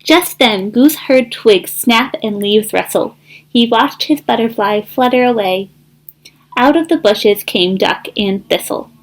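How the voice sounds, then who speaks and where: A woman with a high voice talks to children like an encouraging school counselor. Her read-aloud delivery is expressive and takes its time. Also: unhurried, poor audio.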